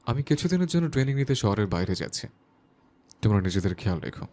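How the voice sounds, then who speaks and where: A man playing a calm, earnest young man in love in an audio story. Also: on the low side, fast talker, no echo. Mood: calm, caring. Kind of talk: audio story character dialogue.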